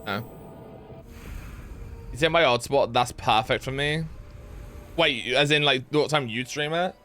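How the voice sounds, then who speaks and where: A young man casually chatting while streaming a game, rambling with lots of fillers and excited yelps. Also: medium pitch, unhurried, faint music behind. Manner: rambling, filler-heavy, reads chat aloud, yelps with excitement. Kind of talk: casual live-stream gameplay chat.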